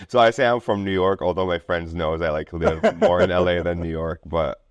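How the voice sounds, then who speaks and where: Very deep-voiced man, casual and rambling. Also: steady tone, moderate pace, clear, resonant tone, no room sound, dull audio. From Lebanon. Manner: rambling, informal, peppered with "like" and "you know". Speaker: interview guest, artist and DJ. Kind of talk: casual conversational interview.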